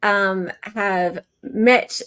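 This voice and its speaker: A woman's voice: medium pitch, fairly even intonation, very slow, fairly bright tone, clear, resonant tone. A woman hosting a casual livestream, gushing and repeating words for emphasis. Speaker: livestream host.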